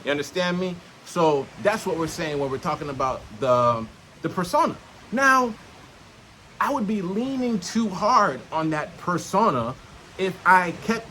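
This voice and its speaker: A man's voice: high voice, fairly bright tone, a little hiss or hum. A man talking casually and unscripted to camera, teaching in streetwise slang with frequent swearing and checking in with the listener. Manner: punctuates points with checking questions to the listener. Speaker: self-help vlogger. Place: outdoors in nature.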